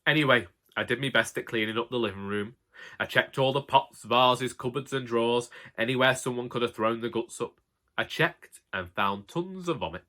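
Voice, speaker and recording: A man's voice: mid-range voice, moderate pace, bright, forceful tone. A man with a Northern English accent narrating a comic first-person audiobook as a droll, grumbling, long-suffering husband.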